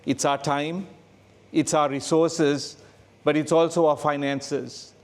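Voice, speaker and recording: A man's voice: a little high, little pitch movement, slow pace. A middle-aged man preaching as a guest in a church, talking in a conversational way with frequent fillers. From Sri Lanka. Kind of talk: sermon. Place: church sanctuary.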